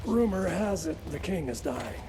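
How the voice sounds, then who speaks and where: An actor playing a brisk, gossipy prison guard in a fantasy video game. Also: male voice, a little high, sing-song, crowd noise. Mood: chatty, gossiping. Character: Bastian, bossy chatty prison guard man in fantasy.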